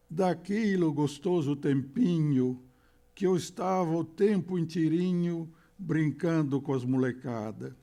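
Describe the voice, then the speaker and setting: An older man voicing a nostalgic Italian immigrant of São Paulo, reciting slowly and wistfully with an Italian-tinged São Paulo accent. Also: a little high, warm tone. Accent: Italian immigrant Portuguese of São Paulo.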